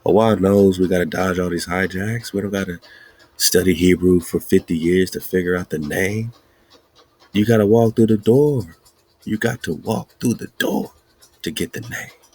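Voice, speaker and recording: Husky, low-pitched male host, slow and emphatic, preaching-style delivery. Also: steady tone, darkish tone. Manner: chanting, emphatic, laughs often. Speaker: podcast host. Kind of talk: preaching-style teaching monologue.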